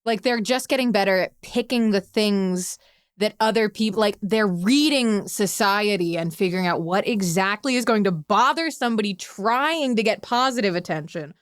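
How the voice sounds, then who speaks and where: A woman's voice: medium pitch, lively intonation, moderate pace, smooth, dry recording, clean studio sound. A woman explaining things in a casual podcast chat, repeating herself for emphasis. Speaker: podcast guest, works with kids.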